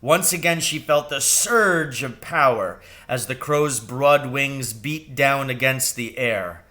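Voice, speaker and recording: A man reading a children's novel aloud as expressive narration, with measured and deliberate phrasing. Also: mid-range voice. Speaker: storybook reader.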